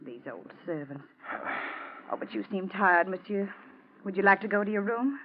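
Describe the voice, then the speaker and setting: A woman's voice: medium pitch, expressive, lightly acted. Actress as genteel young woman, muffled radio. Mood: composed, enigmatic. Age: young adult. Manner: genteel, measured, theatrical. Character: poised, enigmatic young plantation housekeeper. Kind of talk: 1940s radio acting. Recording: muffled, hissy 1940s radio recording.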